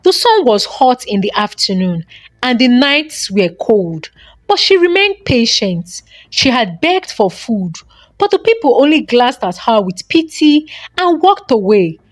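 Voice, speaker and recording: A woman's voice: fairly high pitch, big pitch swings, measured pace, dry recording. A woman narrating a folktale as a dramatic storyteller.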